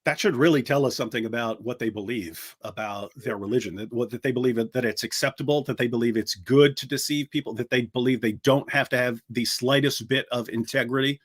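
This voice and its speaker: A middle-aged man co-hosting a casual commentary chat, talking in an animated way with many restarts and the odd mocking impression. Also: mid-range voice, a little fast, few pauses, husky. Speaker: co-host.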